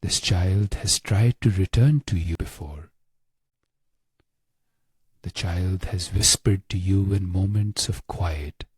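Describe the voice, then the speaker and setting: Low, husky, breathy male meditation guide. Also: a little mellow, no room sound. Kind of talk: guided meditation, scripted narration.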